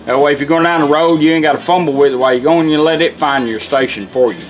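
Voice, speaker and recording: A man talks through a casual hands-on product demo in a folksy, unpolished way. His voice sits a little on the high side. Some music plays in the background. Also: fast. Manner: folksy, plain-spoken, hands-on. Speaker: car radio restorer and demonstrator. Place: indoor workshop bench. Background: music from the demonstrated radio.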